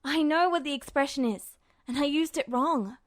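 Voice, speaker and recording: Audiobook role: brash, agitated young man voiced by a woman, high-pitched, emphatic, close dry sound. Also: moderate pace, no echo, lightly acted. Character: hot-tempered, defensive short young man, brash and foul-mouthed. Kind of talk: audiobook character voice.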